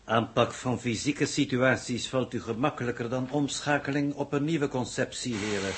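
A man acting a formal, lecturing alien advisor with measured, stilted delivery in a 1960s radio drama. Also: mid-range voice, lightly acted. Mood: coolly superior. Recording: noisy vintage radio recording.

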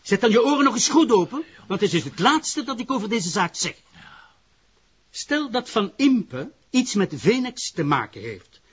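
A Flemish actor playing a stern, dismissive, impatient public prosecutor, emphatic and formal, in a crime drama. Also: male voice, high-pitched, big pitch swings, moderate pace, breathy edge, dull audio, exaggerated voice. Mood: exasperated. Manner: emphatic, exasperated, authoritative. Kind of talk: broadcast crime drama acting.

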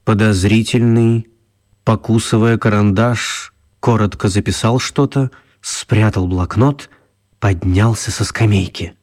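A man's voice: on the low side, steady tone, unhurried, darkish tone. A man narrating a mock spy adventure as the storyteller of a children's radio play. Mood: measured storytelling.

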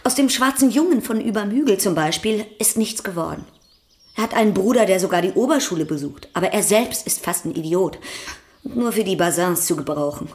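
An actress playing a prim, sharp-tongued young hostess in a radio drama, speaking crisply and reproachfully. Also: female voice, mid-range voice, expressive, brisk, natural voice.

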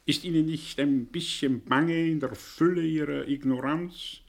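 An actor playing a proud, condescending older professor in a radio play, husky, ironic and erudite. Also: male voice, on the high side, steady tone. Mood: mocking. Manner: ironic, erudite, halting when agitated.

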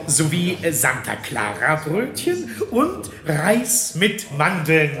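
High-voiced, ceremonious monk in echoing hall. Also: male voice, exaggerated voice. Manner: deliberate, ceremonious, savouring each item. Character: monk announcing a feast menu with pompous relish. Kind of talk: radio drama acting. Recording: echoey hall with crowd murmur.

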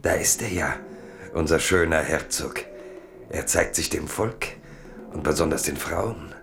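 A man narrating a literary radio play as a theatrical, measured storyteller. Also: rumbling low, creaky, music in the background, exaggerated voice. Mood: wry, excited.

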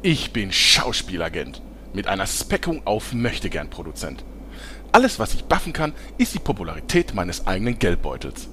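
A man's voice: low voice, huge pitch swings, moderate pace, pushed and bright, slight background noise, exaggerated voice. A man playing a greedy, cowardly showbiz agent who presents theatrically in a German parody audio play. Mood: smug.

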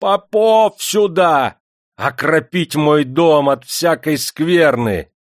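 A man's voice: a little high, animated, measured pace, no echo, clean studio sound, voice pushed up. A man voicing a despotic, hot-tempered Russian factory owner in an audiobook, barking and taunting imperiously. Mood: furious barking.

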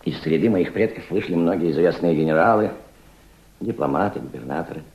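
A proud, cultured gentleman revolutionary speaks in a low, highly modulated voice. He reflects and orates like a fatherly mentor in an old radio play, heard in a roomy, echoing recording. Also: highs cut off. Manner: reflective, oratorical, fatherly toward a young listener. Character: proud, cultured older Russian aristocrat turned revolutionary diplomat. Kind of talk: Soviet radio drama acting.

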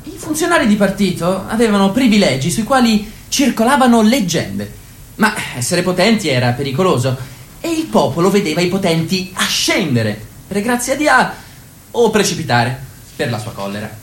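Actress as sceptical female party member, pointed and theatrical, swinging pitch, roomy radio-play sound. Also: big pitch swings, normal speed, slight background noise, lightly acted. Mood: grave recounting. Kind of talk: Italian radio theatre acting.

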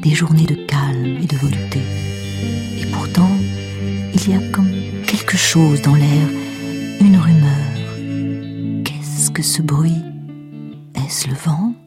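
Dreamy female storyteller, really low voice, slow and suspenseful, guitar music playing behind. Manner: measured, suspenseful. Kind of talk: scripted dramatic narration. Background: plucked guitar music.

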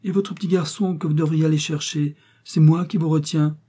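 Brief: man doing a sly, gushing woman neighbor, storybook reading, husky and high. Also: fairly even intonation, brisk, warm tone. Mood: effusive. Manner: fussy, pleading and effusive. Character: sly, chattering woman neighbor, fussy and ingratiating.

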